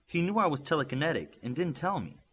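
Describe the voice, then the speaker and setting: A man's voice: on the high side, sing-song, fast, creaky, a bit bright, slightly rough, pitched above the performer's own voice, heavily acted. A man voicing a curious, hesitant boy with powers in a superhero audiobook. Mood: puzzled. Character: unsure, curious young boy telekinetic superhero.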